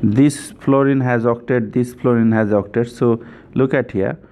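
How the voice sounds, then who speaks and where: Male tutor, mid-pitched, unhurried and repetitive, informal teaching style, slightly noisy home-made recording. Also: fairly even intonation, mellow. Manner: repetitive, often checking with "right?". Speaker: chemistry tutor.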